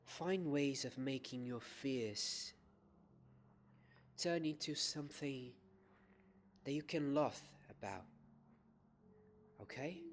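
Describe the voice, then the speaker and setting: A young man playing a kind, gentle wizard professor in a soft-spoken ASMR roleplay, soothing and full of pauses. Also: mid-range voice, slight background noise. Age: young adult. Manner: slow, soothing, pausing, repeats words softly. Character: kind, gentle male wizard professor teaching defense class.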